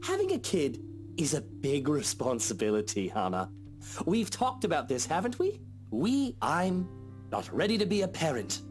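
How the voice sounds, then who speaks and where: Man acting young husband, weary businessman, dry, grumbling, lively pitch swings, visual novel, faint music. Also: moderate pace. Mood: stern. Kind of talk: visual novel character reading.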